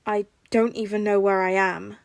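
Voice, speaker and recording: A woman's voice: medium pitch, little pitch movement, moderate pace, creaky, no room sound, studio quality, close to an everyday voice. A woman voicing a scruffy, suspicious male hero in a storybook reading, blunt and terse. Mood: wary, confused.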